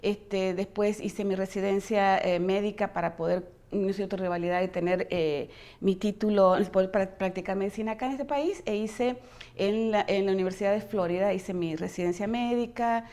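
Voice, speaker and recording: A woman's voice: medium pitch, steady tone, moderate pace, dark tone. Rambling Argentine woman doctor, filler-heavy. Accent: Argentine, non-Buenos Aires. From Argentina, near Chile. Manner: rambling, many fillers and restarts. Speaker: guest physician. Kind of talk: casual interview chat.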